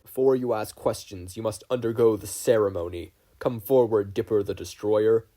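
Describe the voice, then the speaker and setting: A man's voice: lowish voice, moderate pace, mellow, close to an everyday voice. A man voicing a huge, ceremonial minotaur chief in a storybook reading, solemn and declamatory. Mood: solemn, proclaiming.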